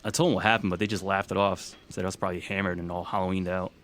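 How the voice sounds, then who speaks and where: An actor playing an uneasy man recounting a frightening memory in a plain, halting way, in a naturalistic audio drama. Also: sits low, little pitch movement, a bit bright, slight background noise. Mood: subdued, resentful.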